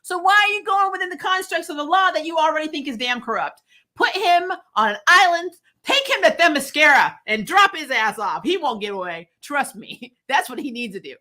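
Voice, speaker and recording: A woman's voice: high-pitched, a little fast. A woman in casual, opinionated conversation, arguing bluntly and swearing freely. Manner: blunt, animated arguing, sweary, laughs often. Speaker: host of a comics and film review channel.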